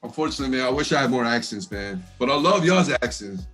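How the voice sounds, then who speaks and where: Man, deep voice, Texan with East Coast edge, quick and relaxed, faint music underneath. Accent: East Coast US tinge over Texan. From Texas. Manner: fast-talking, laid-back, laughs often, says man a lot. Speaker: rapper interviewee. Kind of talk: casual interview chat.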